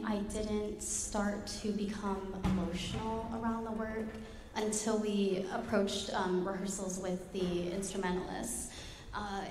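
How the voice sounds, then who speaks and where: Young female dancer, reflective panel answer, mid pitch, reverberant hall. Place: large concert hall stage. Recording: echoey concert hall, clear background noise.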